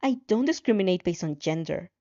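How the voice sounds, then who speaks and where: A woman's voice: lowish voice, lively intonation, a little fast, warm tone, no echo, lightly acted. A woman voicing an angry teenage boy bully, gritted and sneering, in a story reading with lo-fi sound. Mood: sneering contempt. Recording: dull lo-fi sound.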